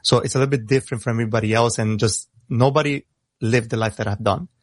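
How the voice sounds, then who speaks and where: A young man who grew up in Italy, with Italian as his first language, talking casually over a video call in a halting way with many fillers. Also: mid-range voice, fairly even intonation, no echo, clean studio sound. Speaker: rapper guest.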